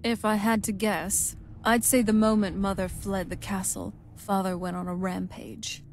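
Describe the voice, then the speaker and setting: A woman's voice: mid-range voice, little pitch movement, normal speed, a little hiss or hum, natural voice. An actress playing a wistful, young-looking vampire noblewoman in a video game, speaking in a conversational way. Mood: reflective. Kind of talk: video game character dialogue.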